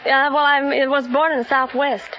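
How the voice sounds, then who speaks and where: A woman's voice: high-pitched, flat delivery, normal speed, slight background noise. A woman from California chatting unscripted as a contestant on an old radio quiz. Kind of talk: unscripted chat with host. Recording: dull old radio recording, audience behind.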